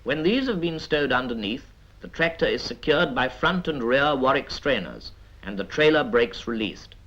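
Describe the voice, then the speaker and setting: A British man reads scripted 1950s military training film narration in a measured, instructive manner with dry asides. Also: mid-range voice, lively intonation, a bit bright. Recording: dull vintage 1950s film soundtrack.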